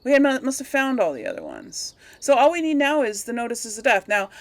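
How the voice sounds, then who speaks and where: A woman's voice: a little high, big pitch swings, a little fast, no room sound. A woman hosting a casual let's play, chattily thinking aloud as she plays. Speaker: gaming video host.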